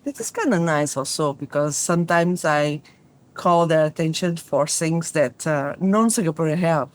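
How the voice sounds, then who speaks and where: A woman, an engineering professor, chatting as a guest and speaking English as a with many restarts and stops. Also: low voice. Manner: halting, many restarts, animated when telling stories. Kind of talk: casual conversation.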